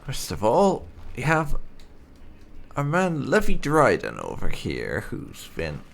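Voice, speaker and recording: A man hosting a video game playthrough, commenting and reading out dialogue choices in roleplay, often halting to think aloud. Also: medium pitch, huge pitch swings, gravelly. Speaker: gaming channel host.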